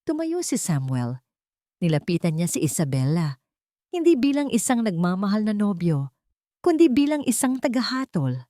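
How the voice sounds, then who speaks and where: A woman narrating an audio drama in a steady, dramatic storytelling manner. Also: medium pitch, moderate pace, no echo, studio quality.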